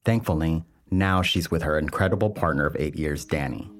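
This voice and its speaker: A man hosting a documentary podcast, reading scripted narration in a measured, earnest way. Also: a bass voice. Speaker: podcast host.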